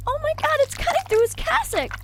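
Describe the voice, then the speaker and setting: A woman playing a frightened, excitable nun in an audio drama, with a breathless, halting, alarmed delivery. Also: very high-pitched, noisy background. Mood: horrified.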